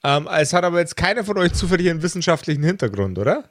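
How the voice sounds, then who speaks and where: Higher-voiced man, dry close sound. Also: sing-song, very fast, no echo. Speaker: game master. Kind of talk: casual, unscripted discussion.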